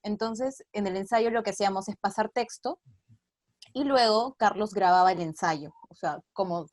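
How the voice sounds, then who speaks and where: A woman's voice: mid-range voice, normal speed, dry recording, clean studio sound. A woman, an actress giving an interview in casual explanatory talk, often checking in with short tag questions. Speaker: radio theatre actress being interviewed.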